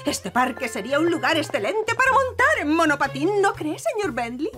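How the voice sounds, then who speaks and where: Middle-aged female mayor, Castilian accent, kids' cartoon, bright-minded and decisive, high and lively delivery. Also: a little fast. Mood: pleased, decisive. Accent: Castilian Spanish. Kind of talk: children's cartoon dub. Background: background music.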